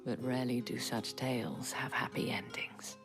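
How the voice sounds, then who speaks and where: An actress playing a composed courtesan-house madam in a game cutscene, speaking low and breathy with measured, formal, knowing phrasing. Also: female voice, fairly even intonation, in a whisper. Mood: sorrowful. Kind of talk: dramatic video game cutscene acting. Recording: loud music under the voice.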